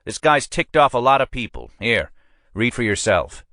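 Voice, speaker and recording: A man reads a blustering, pushy police captain who barks his orders in a raised, lively voice that swoops up and down. The sound is close and dry, with no room around it. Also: mid-range voice, normal speed, no echo, pitched above the performer's own voice. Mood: commanding. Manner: brusque, pushy, emphatic. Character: blustering middle-aged male police captain.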